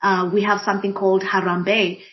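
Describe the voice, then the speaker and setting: A woman with a Kenyan accent and Swahili as her first language, reflecting deliberately on her own life as a panel guest, rephrasing for emphasis. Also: medium pitch, level tone, highs cut off. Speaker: panel guest, diversity and inclusion practitioner. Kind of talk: reflective personal account in a group discussion.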